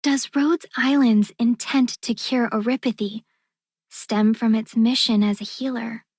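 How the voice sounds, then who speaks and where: Game role: solemn, enigmatic woman, breathy, fairly high voice. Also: fairly even intonation, a little mellow, no echo, clean studio sound. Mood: probing, solemn. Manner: formal, deliberate. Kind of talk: video game character voice line.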